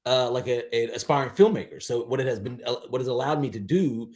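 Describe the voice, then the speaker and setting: A man in his forties talking casually as a podcast guest, emphatic, with halting false starts and some swearing. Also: medium pitch, darkish tone. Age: 40s. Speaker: podcast guest, DJ and aspiring filmmaker. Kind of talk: casual interview chat.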